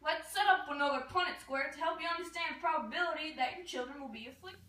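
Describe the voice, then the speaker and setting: Young woman, high bright voice, scripted show-host delivery, explanatory, echoey room. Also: fast, slight background noise. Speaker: puppet show performer playing a TV host. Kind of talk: scripted educational show presenting. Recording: echoey room, distant mic.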